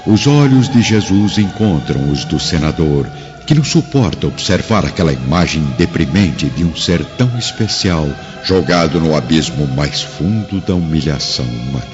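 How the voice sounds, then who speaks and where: A man's voice: very deep voice, lively intonation, moderate pace. A man narrating a scripted drama in a solemn, literary manner. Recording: dull recording over music.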